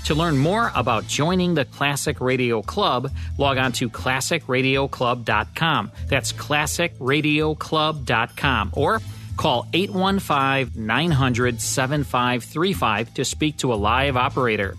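A man's voice: mid-range voice, a little slow, sharp tone, soft background music. A man hosting a classic radio podcast, reading scripted back-announcements and membership promotion. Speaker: podcast host.

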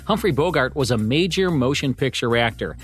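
An American man with a slightly husky voice, co-hosting with scripted narration. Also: medium pitch, expressive, brisk, faint music behind. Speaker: podcast co-host.

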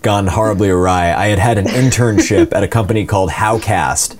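Young guy, kinda low polished voice actor voice, chatting casually, pokes fun at himself. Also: a little fast, faint music behind. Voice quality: polished announcer-like voice. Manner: self-deprecating, joking. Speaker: voice actor guest. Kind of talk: casual interview storytelling.

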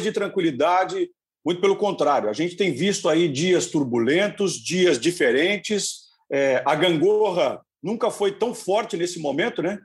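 A man with a high voice speaks in long, flowing, effusive sentences. He sounds like a sports broadcaster on a podcast. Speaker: sports commentator guest. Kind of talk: podcast discussion.

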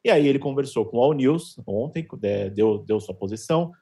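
A man giving a measured news roundup as a columnist on a talk show. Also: medium pitch, animated, warm tone. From Brazil.

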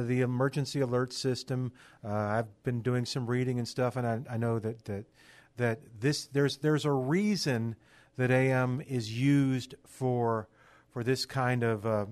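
A man's voice: medium pitch, darkish tone, no room sound. A man with a mid-range voice hosts a radio interview. He talks informally, in loose run-on phrases, as in easy conversation. The sound is dry, with no room around it. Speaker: radio show host.

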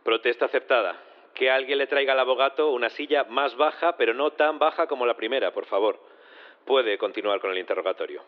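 An actor in an audio drama playing a formal, procedural judge with a deadpan manner and a radio-like filter. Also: male voice, mid-range voice, fairly quick. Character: formal, procedural robot-trial judge, male. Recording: thin phone-like narrow band.

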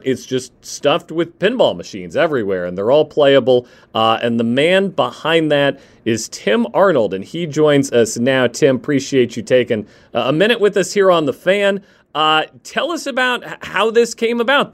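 A man's voice: a little high, big pitch swings, normal speed. A man hosting a live radio interview, often repeating words as he talks. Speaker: sports radio host, interviewer.

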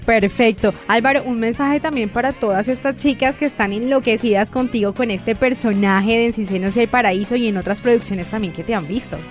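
A woman's voice: on the high side, brisk, few pauses, soft background music. A woman with a Colombian accent hosting a friendly, informal interview in a chatty conversational way. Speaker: interviewer, host.

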